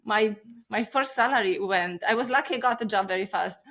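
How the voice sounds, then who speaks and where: A woman in her thirties, raised in Romania, speaking English as her at a middle pitch. She tells her story in an animated, chatty way and acts out the people she quotes. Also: fairly quick. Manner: animated, acts out quoted voices, frequent laughs. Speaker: podcast guest. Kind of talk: casual interview storytelling.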